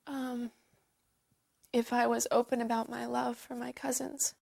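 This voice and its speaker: Female, high pitch with vocal fry, halting and hesitant personal confession. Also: little pitch movement, darkish tone.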